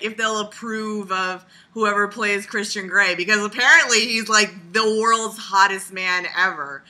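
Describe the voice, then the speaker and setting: A woman with a very bright, sharp voice at a middling pitch talks casually as a video blogger. She rambles through her commentary with animated sarcasm. Her humor is crude and she swears freely.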